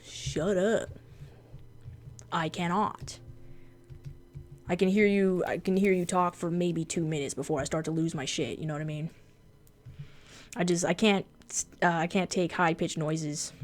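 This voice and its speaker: A woman whose first language is Japanese, with a fairly deep voice, chatting casually and bluntly on a drawing livestream, swearing freely. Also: fairly even intonation, moderate pace, pauses often. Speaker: streamer and artist.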